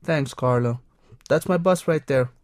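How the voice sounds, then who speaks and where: A man playing a polite twenty-two-year-old engineering student who spells words out clearly. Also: mid-range voice, unhurried, darkish tone, natural voice.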